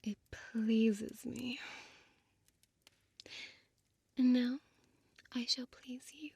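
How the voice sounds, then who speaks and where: A woman playing a seductive, possessive vampire innkeeper in an ASMR audio roleplay, whispering softly with hypnotic, commanding slowness. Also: fairly high pitch, fairly even intonation, no room sound, heavily acted. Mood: teasing, seductive. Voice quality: breathy, soft whisper. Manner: slow, hypnotic, coaxing, deliberate pauses.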